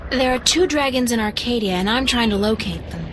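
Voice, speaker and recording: A woman's voice: fairly high pitch, fairly quick, a little hiss or hum, close to an everyday voice. An actress playing a polite, curious young woman adventurer asking questions.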